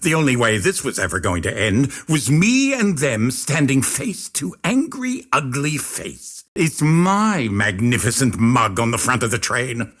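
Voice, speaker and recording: An actor playing a pompous, sinister circus ringmaster in a video game, speaking in florid showman's phrases with drawn-out dramatic emphasis. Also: mid-range voice, very animated, fairly bright tone. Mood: grandiose confidence. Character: theatrical male circus ringmaster villain, grandiose and sinister. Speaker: video game villain and overseer. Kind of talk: theatrical scripted game voice lines.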